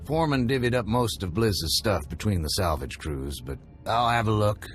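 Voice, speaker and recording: An actor playing a good-hearted working-class salvager in a video game, folksy, drawling and earnest. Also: male voice, lowish voice, expressive, moderate pace, lightly acted. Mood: grateful. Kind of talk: video game character acting. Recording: music behind the voice.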